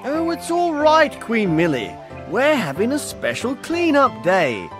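A woman's voice: on the high side, wildly swooping pitch, a little slow. A woman voicing Max, a cheerful young farm boy puppet in a children's show. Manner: bright, enthusiastic and upbeat. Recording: studio voice over music.

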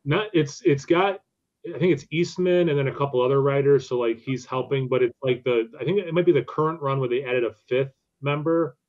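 A man in his thirties in relaxed podcast conversation, speaking from home on a video call. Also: medium pitch, fairly quick. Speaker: podcast co-host. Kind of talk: casual chat. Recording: slightly dull lo-fi call audio.

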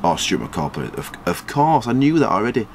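A man in his thirties chats his way through a football management game, reading comments aloud. His pitch swings up whenever something surprises him. A faint background hum sits under the recording. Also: very animated. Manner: chatty, rambling, quick jumps in pitch when surprised. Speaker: gaming channel host.

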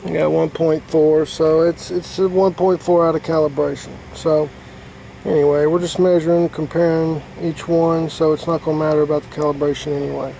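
Gravelly, flat-voiced repair technician. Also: male voice, on the high side, moderate pace, a little hiss or hum, low-quality recording. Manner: plain-spoken, talks through each step with fillers. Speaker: HVAC technician. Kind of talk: casual hands-on repair demonstration. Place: outdoors beside an air-conditioning unit.

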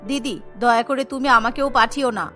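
A woman's voice: fairly high pitch, steady tone, a little fast, pushed and bright, soft background music, pitched below the performer's own voice. A woman voicing an agreeable, questioning younger sister-in-law in a village cartoon, earnest and deferential. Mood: complaining. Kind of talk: Bengali cartoon dialogue.

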